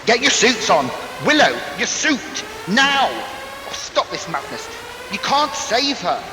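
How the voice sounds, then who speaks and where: A man's voice: high-pitched, big pitch swings, takes it slowly, background noise, exaggerated voice. A man playing a stern, self-preserving leader in an audio drama, with a commanding, indignant manner.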